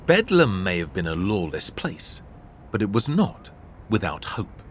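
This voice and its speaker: Deep, expressive male storyteller. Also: a little hiss or hum. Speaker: audiobook narrator. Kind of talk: scripted storybook narration.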